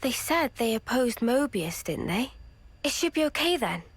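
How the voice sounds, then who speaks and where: A woman's voice: a little high, big pitch swings, moderate pace, breathy edge, dry recording. A woman playing a trusting, outspoken young fighter in a game, with a blunt, informal manner. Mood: upbeat, curious.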